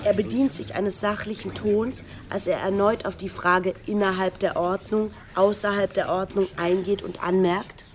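A woman's voice: medium pitch, fairly even intonation, normal speed, hardly any pauses, lightly acted. A woman playing a wry, needling narrator in a radio documentary drama, with an ironic, pointed way of talking. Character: wry, needling female narrator commenting on constitutional debates.

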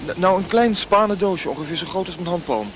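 A curious travelling physician with a high voice in a radio play. He exclaims, hesitates and answers bluntly. Clear noise runs behind the voice. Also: lively intonation, brisk. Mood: anxious. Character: injured travelling physician, curious and outspoken male. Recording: noisy old radio drama, outdoor effects.

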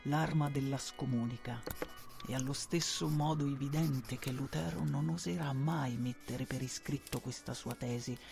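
Man acting servile informer, creaky, formal. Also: medium pitch, fairly even intonation, darkish tone, soft background music, lightly acted. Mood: grave, wary. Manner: formal, deferential, measured. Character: devoted servile informer writing secret reports to his lord. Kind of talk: radio drama letter narration.

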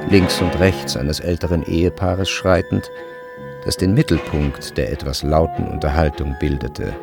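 A man narrating a literary radio drama in a measured, precise storytelling manner. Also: very deep voice, steady tone, gravelly. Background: faint music.

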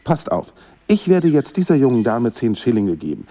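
A man's voice: medium pitch, moderate pace. A man playing a courteous but impatient knight in a radio drama, talking in a conversational way. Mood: exasperated. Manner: animated, conversational.

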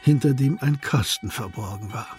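An actor narrating a detective radio drama in a composed, scripted storytelling style. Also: male voice, medium pitch, normal speed, a little mellow, faint music behind, natural voice.

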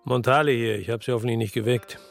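A man's voice: medium pitch, fairly even intonation, quick pace, gravelly, soft background music, lightly acted. An actor playing a world-weary former policeman from Marseille, narrating his case soberly and laconically in a radio drama. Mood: weary, reflective. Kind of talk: first-person radio drama narration and dialogue.

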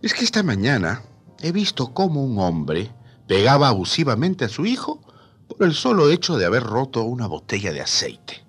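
A man's voice: medium pitch, wildly swooping pitch, moderate pace, husky, faint music behind. A man co-hosting a radio programme in a scripted conversational style. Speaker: radio co-host.

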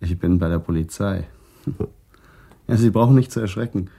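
A middle-aged actor playing a calm, reflective traffic policeman under questioning in a German radio crime drama, speaking in a measured, guarded way. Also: deep voice, creaky, breathy edge, exaggerated voice.

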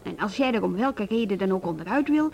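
A woman's voice: mid-range voice, animated, quick pace, gravelly, darkish tone. An actress playing a female music teacher in a radio drama, who talks in a firm, reasoning, insistent way.

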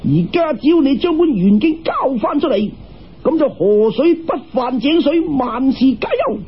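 一个男人用粤语口音扮演顽皮大胆嘅年轻道门徒弟，讲嘢冲口而出，录音发闷。. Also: male voice, very high voice, sing-song, normal speed, voice pushed up, heavily acted. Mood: brash, defiant. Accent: Cantonese. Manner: cheeky, brash, challenging. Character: cheeky, bold young disciple of a Taoist master. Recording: dull, muffled radio-style recording.

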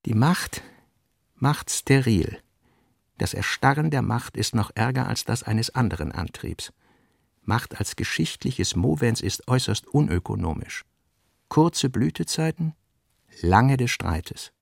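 A man reading literary prose as a radio play narrator, in a measured, deliberate, essayistic manner. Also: mid-range voice, lively intonation, vocal fry, no room sound, clean studio sound.

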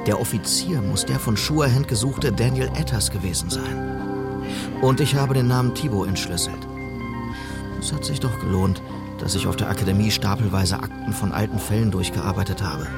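An actor playing an earnest, idealistic policeman in a western radio drama, speaking in a measured, persistent way. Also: on the low side, gravelly. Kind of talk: radio drama acting and first-person narration.

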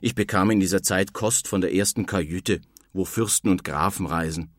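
A man's voice: deep voice, normal speed, dry recording, clean studio sound. An actor playing an earnest nineteenth-century emigrant, reading his letters home in a dramatized style. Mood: distressed, plaintive. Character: earnest male 19th-century German emigrant writing home.

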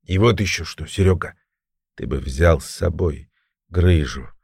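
A weary crippled criminal veteran in an audiobook, acted by a man. The voice is low, creaky and labored, with short breaths and slow, stoic prison slang, recorded close and dry. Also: darkish tone, dry recording, full character voice. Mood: weary, resigned.

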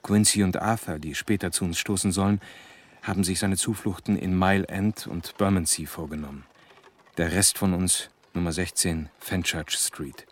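A man playing a serious, educated diarist in a German radio drama, narrating in measured, clipped entries. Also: low-pitched, fairly even intonation. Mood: sober, tense. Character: sober educated man narrating his diary.

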